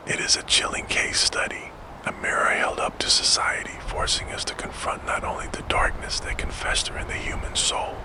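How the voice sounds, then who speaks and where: A man narrating a true crime story in a soft, slow, deliberate ASMR whisper. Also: low-quality recording.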